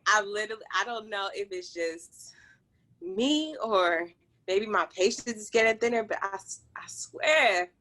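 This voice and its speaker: A woman's voice: medium pitch, unhurried, sharp tone, a little hiss or hum. Ranting Liberian woman host. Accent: Liberian English. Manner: ranting, laughing, playful. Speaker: podcast host. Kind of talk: casual group chat over a video call. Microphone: video call.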